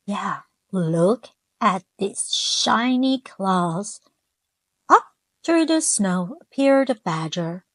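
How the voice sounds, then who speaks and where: A woman reading a children's picture book aloud, gently stopping to ask her young listeners questions. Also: mid-range voice, lively intonation, drawn-out words, no room sound. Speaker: children's storyteller.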